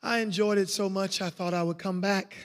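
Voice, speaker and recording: A man with a raspy voice preaching a revival sermon in a church, exuberant and full of hallelujahs. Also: high voice, steady tone, fairly quick, a bit bright. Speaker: guest evangelist. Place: church sanctuary. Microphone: handheld mic. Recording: echoey church hall.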